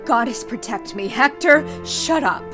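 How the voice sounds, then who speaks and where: Woman acting high, swooping emotional young heroine. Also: expressive, measured pace. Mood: distraught. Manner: emotional, exclamatory. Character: distraught, snappish young female heroine. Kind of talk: visual novel character acting. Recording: music bed behind the voice.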